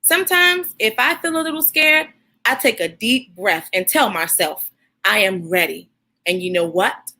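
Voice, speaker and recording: A woman voicing a boy's gentle, encouraging father in a soothing storybook read-aloud. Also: mid-range voice, normal speed. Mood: gentle, reassuring. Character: gentle, reassuring father of a young boy.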